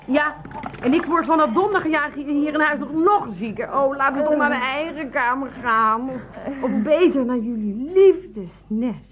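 A woman playing an aggrieved, self-pitying sick wife in a radio play, complaining with a sharp, sarcastic tongue. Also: high voice, normal speed, slight background noise. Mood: peevish, scornful.

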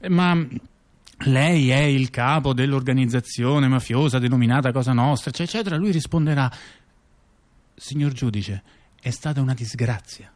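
A man with a mid-range voice tells a satirical story as if chatting across a table. He stumbles, repeats himself and wanders into asides, often checking that the listener follows. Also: medium pitch. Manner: halting, digressive, repeats phrases and checks in with the listener. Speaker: storyteller-performer in a two-person stage dialogue.

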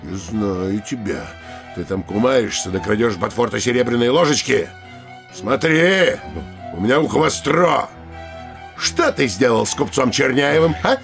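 A nervy bossy town governor, gravelly, swings from mutters to barked orders, audio play. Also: male voice, medium pitch, animated, a little slow, fairly bright tone, soft background music. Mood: irritated, scolding. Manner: barking orders, muttering asides. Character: bossy, anxious, scheming male provincial town governor. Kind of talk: theatrical comedy audio drama acting.

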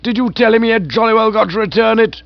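A man reading aloud voices a loud, hearty older aunt who talks bluntly and bossily. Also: high-pitched, quick pace, gravelly, no room sound, pitched above the performer's own voice. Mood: insistent. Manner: loud, emphatic, bossy. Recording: dull, dry recording.

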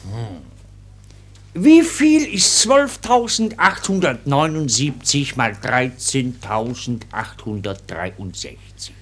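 Slow, husky military doctor. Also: male voice, mid-range voice, big pitch swings. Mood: measured, probing. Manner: deliberate, formal questioning. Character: measured, probing male military doctor examining a recruit. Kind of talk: German radio play acting.

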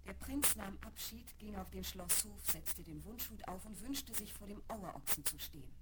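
A woman's voice: mid-range voice, expressive, normal speed, very noisy. A woman giving scripted storybook narration for a children's fairy-tale audio play. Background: music.

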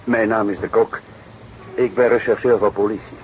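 Brief: male actor as calm older detective for radio drama, low pitch, courteous and deliberate, noisy recording. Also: highs cut off, close to an everyday voice. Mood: politely probing. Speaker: actor playing police detective. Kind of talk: Dutch radio drama acting.

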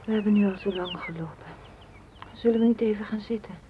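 A woman's voice: mid-range voice, a little fast, mellow, lightly acted. A woman playing a withdrawn, sad lover in a radio play, subdued and introspective. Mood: quiet. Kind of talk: Dutch radio play acting. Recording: faint noise of old radio recording.

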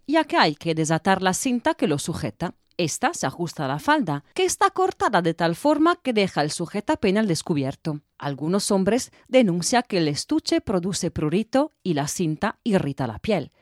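A woman's voice: fairly low pitch, no echo, clean studio sound. A woman narrating a scripted audio story.

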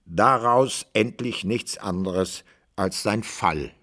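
A man narrating a literary radio play as a deliberate, rhetorical storyteller. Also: fairly low pitch, bright, forceful tone.

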